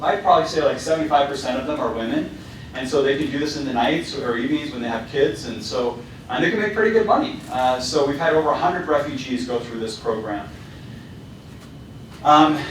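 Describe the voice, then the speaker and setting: A man's voice: medium pitch, far from the mic, slight background noise. Man talking in a very echoey hall. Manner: casual, with many fillers and restarts. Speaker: company founder giving a talk. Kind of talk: presentation to students.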